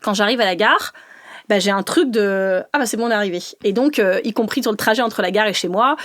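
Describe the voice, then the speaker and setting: A woman from the north of France, a politician guest in an interview, talking fast and animated with laughing asides and many restarts. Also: medium pitch, dry recording, studio quality. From northern France, mining basin. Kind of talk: lively conversational interview.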